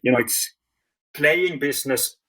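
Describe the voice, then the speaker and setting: A man's voice: on the high side, a little slow, a bit bright. A man from Finland, a sleep coach, answering interview questions in a hesitant way, with many fillers, restarts and laughs.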